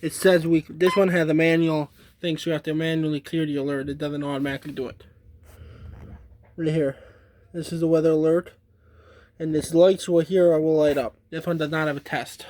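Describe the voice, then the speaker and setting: A man giving a casual, halting, informal show-and-tell demonstration as a hobbyist. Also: a little high, little pitch movement, darkish tone, slight background noise. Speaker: hobbyist demonstrating a radio collection.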